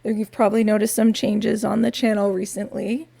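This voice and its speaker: A woman with a raspy voice, talking softly and unhurriedly through a quiet crafting vlog in her home studio, with wry asides. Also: medium pitch, little pitch movement, moderate pace. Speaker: crafting vlogger.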